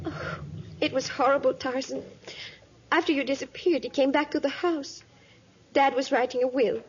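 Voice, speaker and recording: Actress as young planter's daughter, high voice, distressed, earnest, emotional, 1950s radio drama, muffled vintage recording. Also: female voice, steady tone, normal speed, a little hiss or hum, lightly acted. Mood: distressed, grieving.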